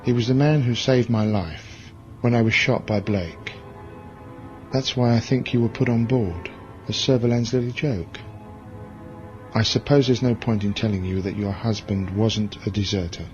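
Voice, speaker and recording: An actor playing a hard, bitter military commander in a science fiction audio drama, with a curt, commanding way of talking. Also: male voice, on the low side, moderate pace, darkish tone, lightly acted. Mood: probing, cold. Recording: dull lo-fi audio drama recording, music behind.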